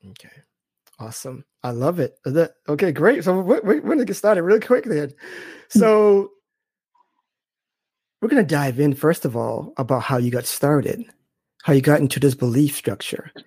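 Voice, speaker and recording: A man's voice: a little high, animated, normal speed, a little mellow, breathy edge, no echo. Man, podcast host, enthusiastic, dry sound. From Boston. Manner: upbeat, enthusiastic, repeats "awesome". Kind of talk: interview hosting.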